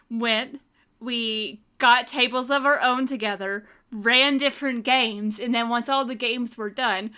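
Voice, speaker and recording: Clear, bright-voiced woman chatting. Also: a little high. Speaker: podcast guest. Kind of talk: casual chat.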